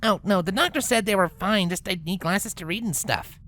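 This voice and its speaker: A woman's voice: mid-range voice, a little fast, fairly bright tone, natural voice. A woman voicing a brash, sulky young female cartoon pegasus who grumbles in cocky slang. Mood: casual, stubborn. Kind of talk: character acting in a fan-fiction story reading.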